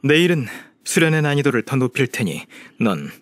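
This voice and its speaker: A man's voice: mid-range voice, big pitch swings, normal speed, lightly acted. A man voicing an aloof, stern immortal master in a video game, cool, terse and commanding.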